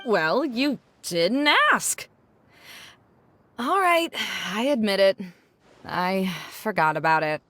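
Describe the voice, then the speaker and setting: A woman's voice: medium pitch, lively intonation, slow pace, long pauses, fairly bright tone, dry recording. Chill candid woman, bouncy intonation, game dialogue.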